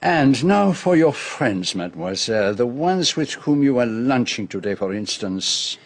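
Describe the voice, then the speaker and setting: A gentleman detective in a radio mystery with a French accent and a medium-pitched voice. He is earnest and protective, choosing formal words deliberately and giving them theatrical weight. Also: sing-song. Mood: courteous, probing. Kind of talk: radio drama acting.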